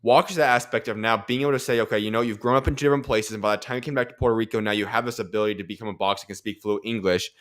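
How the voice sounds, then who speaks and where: A man's voice: lowish voice, fairly even intonation, very fast, hardly any pauses, a bit bright. A young man hosting a boxing interview from a studio desk microphone, asking long, detailed questions. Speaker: host.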